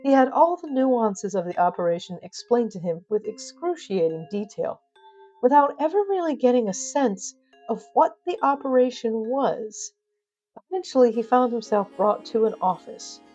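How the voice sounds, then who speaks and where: Female narrator, wry and theatrical, mid-pitched soft-edged tone for whimsical audio fiction, clear music bed. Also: lively intonation, normal speed, lo-fi sound. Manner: wry, theatrical, addresses audience. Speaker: narrator, storyteller. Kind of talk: scripted comic audio storytelling.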